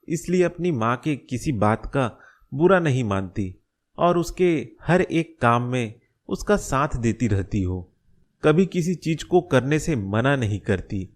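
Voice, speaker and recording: A man voicing a caring, concerned middle-aged father in a cartoon story, giving gentle advice. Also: mid-range voice, natural voice. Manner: gentle, advising. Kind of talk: Hindi cartoon story dialogue.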